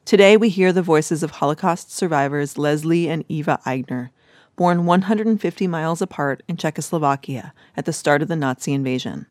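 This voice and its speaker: A woman hosting a documentary podcast, reading a scripted introduction in a polished, even manner. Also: low-pitched, brisk, dry recording, clean studio sound. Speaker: podcast host.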